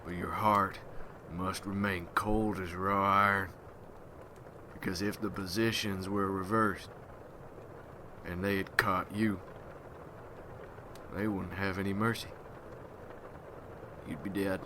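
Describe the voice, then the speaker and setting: A man playing a gruff, hardened vampire hunter in an ASMR roleplay, speaking in folksy rustic slang as he mentors a newcomer. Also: deep voice, little pitch movement, takes it slowly, long pauses, noisy background. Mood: grave.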